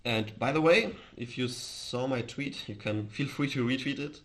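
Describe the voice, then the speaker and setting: A man from Austria with an Austrian accent and German as his first language, chatting casually on a livestream in a hesitant, halting way. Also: medium pitch. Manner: hesitant, halting, chuckles often. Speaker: live streamer, host.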